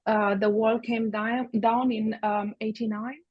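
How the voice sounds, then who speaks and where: Guest: Hungarian-native woman from Romania, 50s, fairly high voice, dry close sound, thoughtful and halting. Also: level tone, breathy edge, no room sound, lo-fi sound. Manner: reflective, many fillers and restarts. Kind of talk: conversational interview answers.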